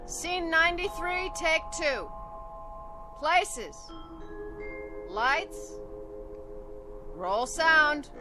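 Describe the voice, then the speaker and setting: Film crew caller, high voice, slow spaced commands, music behind. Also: female voice, big pitch swings, heavily acted. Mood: businesslike, calling out. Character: brisk film-set assistant calling out the take. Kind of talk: television drama acting, called-out commands.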